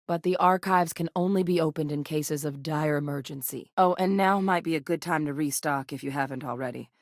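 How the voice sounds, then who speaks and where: An actress playing a composed, frank military scientist in a space video game, speaking in a measured, articulate way. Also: female voice, deep voice, no echo, clean studio sound. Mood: serious, thoughtful. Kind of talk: acted video game dialogue.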